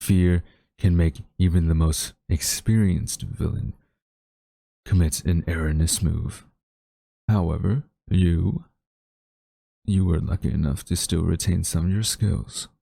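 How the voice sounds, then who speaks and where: A man playing a haughty, wistful government agent in a dramatic audio roleplay, speaking in a smug, deliberate, theatrical way to a captured former friend. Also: low voice, steady tone, gravelly, warm tone, no echo, heavily acted. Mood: menacing, deliberate.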